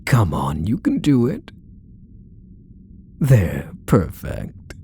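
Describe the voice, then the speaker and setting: A possessive yandere husband, acted by a man, murmurs in an intimate ASMR roleplay with doting, quietly menacing condescension. His voice is mellow and creaky, with pitch that rises and dips widely. He coaxes softly and lets his endearments linger. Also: huge pitch swings, extremely slow, a little hiss or hum, full character voice. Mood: tender, possessive. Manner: soft, coaxing, condescending endearments, lingering phrases.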